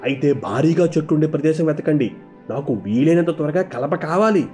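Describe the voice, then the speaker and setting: A man voicing a greedy, bossy rich village employer in an animated moral story. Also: on the high side, brisk, faint music behind, close to an everyday voice. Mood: commanding. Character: greedy, commanding rich male village boss.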